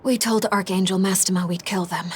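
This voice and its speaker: A woman's voice: mid-range voice, fairly even intonation, brisk, breathy edge, lightly acted. A woman playing a shrewd, composed young investigator in a video game, thoughtful and matter-of-fact. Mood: composed, wary. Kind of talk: dramatic video game voice acting. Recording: loud music under the voice.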